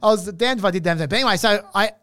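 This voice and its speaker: An Australian man telling a story on a podcast in an animated way, laughing often and restarting his sentences. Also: high-pitched, very fast. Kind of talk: casual storytelling chat.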